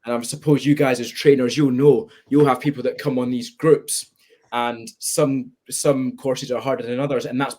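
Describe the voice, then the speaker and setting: A Scottish-accented man of nineteen, from Spain, talks openly and thoughtfully about himself. His pitch sits in an ordinary middle range, and he comes across over a video call. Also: level tone, normal speed, a little mellow. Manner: candid, reflective. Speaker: guest. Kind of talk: webinar conversation.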